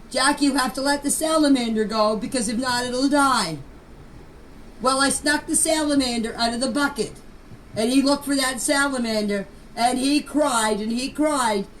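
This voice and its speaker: A woman's voice: high-pitched, low-quality recording. An older woman informally recounting memories in a plain-spoken, folksy way, often repeating her phrases. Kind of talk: informal personal recollection. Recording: clear background noise, amateur voice clip.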